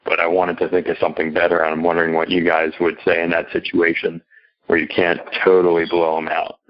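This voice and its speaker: A man with a very deep, flat voice speaks as a caller to a live show. He is casual, halting and unassertive, and the call-in audio is somewhat dull and lo-fi. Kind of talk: live call-in question, hesitant casual talk.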